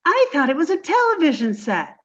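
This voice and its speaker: A woman's voice: sits high, very animated, brisk, a bit bright, highs cut off. A woman playing a sweet, scatterbrained young secretary in a 1950s-style radio comedy, with a breathless, dizzy, sing-song delivery. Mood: cheerful, dizzy.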